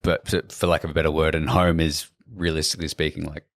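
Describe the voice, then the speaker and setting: An Australian man, a guest on a podcast, chatting casually in a relaxed, rambling way with lots of fillers. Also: very deep voice, fast talker, no echo, clean studio sound. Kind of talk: casual chat. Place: podcast studio.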